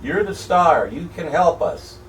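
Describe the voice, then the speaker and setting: A man's voice: fairly high pitch, sing-song, unhurried, recorded from a distance. An American man hosting informal internet radio, speaking in short, emphatic phrases. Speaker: radio host. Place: indoor broadcasting room. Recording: echoey room, off-mic, faint noise.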